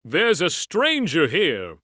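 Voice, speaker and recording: A booming, slow, imperious beast. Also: male voice, huge pitch swings, sharp tone, dry recording, studio quality, heavily acted. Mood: menacing. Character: huge menacing male beast, imperious and gruff. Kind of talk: storybook audio drama acting.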